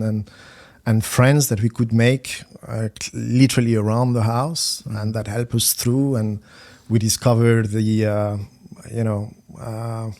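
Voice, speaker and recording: A middle-aged man from France, a finance executive speaking as a podcast guest, talking haltingly with many repeated words and fillers. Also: medium pitch, warm tone. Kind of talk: conversational podcast interview.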